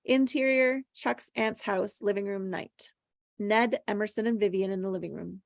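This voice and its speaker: A woman's voice: mid-range voice, normal speed, creaky, no room sound, clean studio sound. A woman reading stage directions aloud from a script at a table read, as scripted narration.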